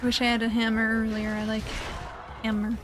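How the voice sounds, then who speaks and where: High-voiced woman gamer with dry asides. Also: completely flat delivery, a little fast, noisy background. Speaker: video game let's play commentator. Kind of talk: casual game commentary. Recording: clear game music behind. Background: video game music.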